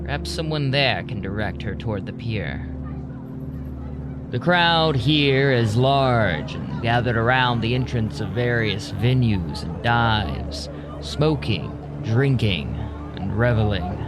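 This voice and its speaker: A man narrating a scripted audio drama in a measured, deliberate way. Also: medium pitch, lively intonation, over music. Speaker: narrator, storyteller.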